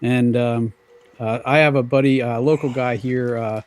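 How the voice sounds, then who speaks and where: An American man talks casually as a guest on a podcast. His voice has an ordinary middle pitch for a man, and he comes from Pennsylvania. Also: steady tone, normal speed, a little mellow. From United States, Pennsylvania. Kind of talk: casual chat.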